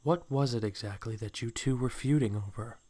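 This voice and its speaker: A man's voice: mid-range voice, normal speed, mellow, natural voice. A man acting a suave, possessive snake man in a comic dub, coaxing with flowery, condescending endearments. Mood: smooth persuasion. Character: suave, possessive snake man courting his captive love.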